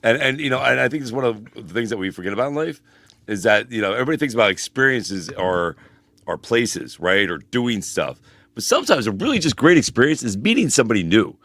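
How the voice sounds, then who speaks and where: A man's voice: medium pitch, sing-song, a bit bright. A man co-hosting a podcast in casual chat, rambling with lots of "freaking" and "bro". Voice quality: fast, rambling, uses "freaking" and "bro" a lot. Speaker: podcast co-host, personal trainer.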